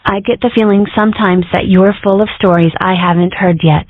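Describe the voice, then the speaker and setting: A woman's voice: medium pitch, fairly even intonation, brisk, no room sound, lightly acted. A woman voicing a playful, curious mom in a cartoon comic dub, casual and teasing. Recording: dry, narrow-band phone-like sound.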